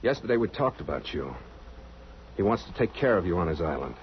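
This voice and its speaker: An actor playing a grave, troubled ship's captain who also narrates, in old-time radio drama style, clipped and earnest. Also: male voice, sits low, big pitch swings, brisk, a little hiss or hum. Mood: businesslike, restrained. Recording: muffled old radio recording with music.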